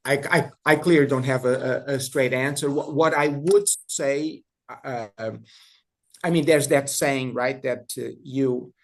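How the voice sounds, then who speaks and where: A man from Brazil with a Brazilian Portuguese accent, speaking haltingly as a panel guest, restarting and repeating words often. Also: medium pitch. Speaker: industry guest. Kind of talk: panel discussion.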